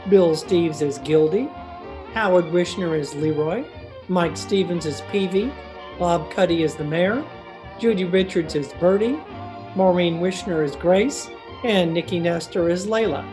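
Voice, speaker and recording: A man's voice: sits high, a little slow, rough recording. A male announcer reading scripted closing credits for a radio comedy. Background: guitar music.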